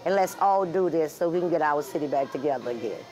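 Woman with a low voice, heartfelt. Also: quick pace, rough recording. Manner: heartfelt, animated retelling. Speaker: security guard. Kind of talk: interview.

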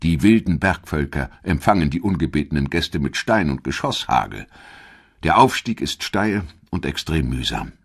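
A man narrating a scripted history documentary in a measured, dramatic storytelling manner. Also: very deep voice, breathy edge.

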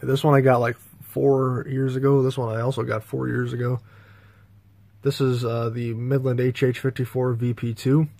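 An American man with a slightly gravelly voice giving a casual, halting, rambling video tour indoors on a handheld phone. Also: mid-range voice, flat delivery, mellow. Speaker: host, hobbyist collector. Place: small bedroom, indoors. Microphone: handheld phone, speaker behind the camera.

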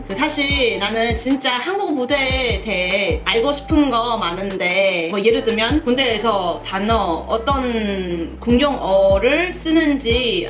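Hesitant, chatty young Taiwanese-accented woman, roomy echo and loud music. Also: fairly high pitch. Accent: Taiwanese Mandarin. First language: Mandarin Chinese. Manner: chatty and hesitant, searching for words. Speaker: reaction video host. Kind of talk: casual reaction commentary.

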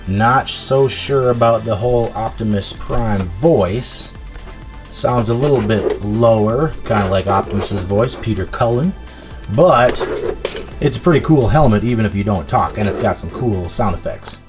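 A man's voice: fairly low pitch, measured pace. Casual man reviewing toys, music behind. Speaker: toy review host. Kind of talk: casual product demonstration. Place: indoor tabletop toy video set.

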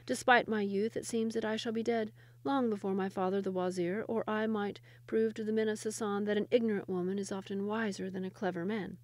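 A woman voicing a clever, wry young royal wife and storyteller in a storybook, measured and resolute. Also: medium pitch, steady tone, darkish tone, no room sound, lightly acted.

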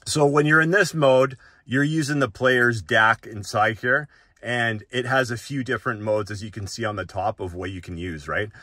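A man's voice: medium pitch, steady tone, moderate pace, bright, forceful tone, dry recording, clean studio sound. A man hosting a gadget walk-through in casual, unscripted tutorial talk, conversational and often checking in with short tag questions. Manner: conversational, often tags sentences with "right?".

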